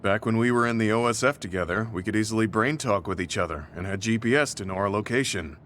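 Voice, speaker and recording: A man playing a weary, guilt-ridden veteran soldier in a video game, speaking in a measured, serious way. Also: lowish voice, fairly bright tone, a little hiss or hum, close to an everyday voice. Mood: resigned. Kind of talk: English video game voice acting.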